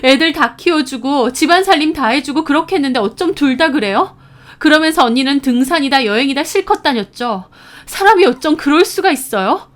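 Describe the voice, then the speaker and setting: A woman acting out an outraged younger sister, high and loud. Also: animated, normal speed, hardly any pauses. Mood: furious, accusing. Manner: shouting, reproachful. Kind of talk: dramatized story dialogue.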